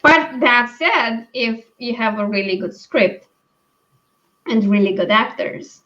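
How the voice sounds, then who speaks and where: Female book stream host, higher pitch, halting and conversational, slightly muffled video-call sound. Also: lively intonation. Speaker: book read-along live stream host. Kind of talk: casual book discussion. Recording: dull, lo-fi stream audio.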